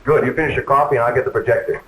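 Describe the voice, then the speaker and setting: A man's voice: medium pitch, fairly even intonation, racing, lightly acted, rough recording. A man acting a genial husband, proud of his carving skill, in a 1950s training film. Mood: genial, confident.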